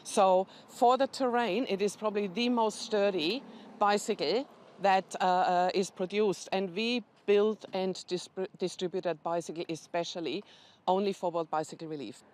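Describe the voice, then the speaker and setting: A woman's voice: mid-range voice, steady tone, moderate pace, darkish tone. Woman explaining charity work factually. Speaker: charity representative, interview guest. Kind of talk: informative interview answers.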